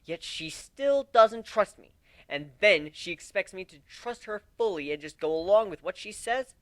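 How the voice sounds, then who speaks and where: A man's voice: sits high, expressive, moderate pace, a bit bright, dry recording, voice pushed up. A man voicing a hot-headed, sulky young griffin in a dramatic story reading, sarcastic and informal. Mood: indignant, sulky. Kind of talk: dramatic reading of fan fiction.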